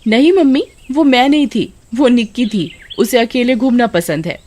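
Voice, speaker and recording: A woman's voice: on the high side, animated, moderate pace, a little mellow. A woman voicing an innocent, pleading little girl in a horror story. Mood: innocent, insistent. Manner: pleading, childlike. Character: little girl, innocent, devoted to her eerie doll.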